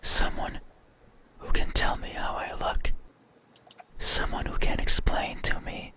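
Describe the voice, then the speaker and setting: Casting: an otherworldly horror-game entity, whispered throughout, very unhurried, menacing with a sorrowful edge. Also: pushed and bright. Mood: mournful. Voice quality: breathy unvoiced whisper. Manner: slow, halting, insistent. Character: eerie mysterious being demanding to be seen, menacing and sorrowful. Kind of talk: horror visual novel voice acting.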